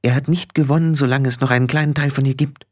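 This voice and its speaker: A man reading a story, voicing a brash, quick-talking tiny male fairy. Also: mid-range voice, fairly even intonation, lightly acted. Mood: urgent.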